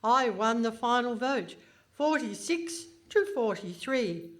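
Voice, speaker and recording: A woman playing a haughty, pompous Iron Lady politician in a comic radio play, speaking in a stately, deliberate manner. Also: fairly high pitch, expressive. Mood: haughty, dismissive. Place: hall stage before a live audience.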